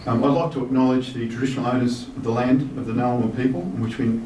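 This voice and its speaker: Aussie guy in his 50s giving a speech, mid pitch, relaxed, reverby hall from far off. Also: fast. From Australia. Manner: relaxed, with um fillers. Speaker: guest speaker reading a minister's message. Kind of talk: formal speech mixed with personal remarks. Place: large hotel reception hall. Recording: echoey hall from far off with faint noise.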